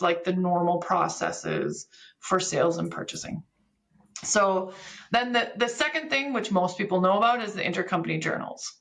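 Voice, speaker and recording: Female expert guest, mid-pitched with a creaky edge, talking through technical processes step by step in a conversational, instructive way. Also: fairly bright tone. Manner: didactic, step-by-step explaining with examples. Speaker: podcast guest, business software expert.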